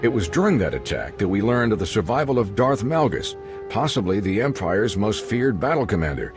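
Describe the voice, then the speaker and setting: An actor playing a personable, showy galactic news reporter and host in a video game, with polished delivery. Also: male voice, fairly low pitch, sing-song, fairly quick, music in the background. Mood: polished, broadcast. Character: smooth, chatty male galactic news reporter and show host.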